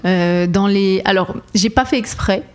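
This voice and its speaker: A woman singer, raised between France, Lebanon and Ivory Coast, speaks casually at a mid-range pitch. She sounds reflective and searching, pausing often to find her words and laughing easily. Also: clean tone. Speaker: singer, guest. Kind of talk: casual conversational interview.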